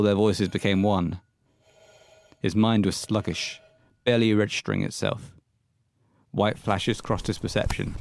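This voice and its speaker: Guy reading a horror story, lowish voice, measured, like an audio play narrator. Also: darkish tone, slight background noise.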